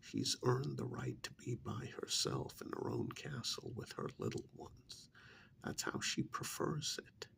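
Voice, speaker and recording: Male ASMR role-play performer. Very creaky, soft half-whisper; deliberate, pointed monologue. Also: medium pitch. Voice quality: breathy, gravelly half-whisper.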